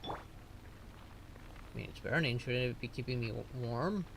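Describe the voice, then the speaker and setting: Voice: medium pitch, takes it slowly, creaky, background noise. A streamer giving casual playthrough commentary, with grumbling and sarcastic asides. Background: game music.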